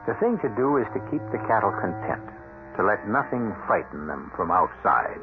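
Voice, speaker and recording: A man hosting and narrating a scripted 1970s radio drama in a measured, literate, expressive storytelling manner. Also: on the low side, vocal fry. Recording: thin, narrow-band old radio with music.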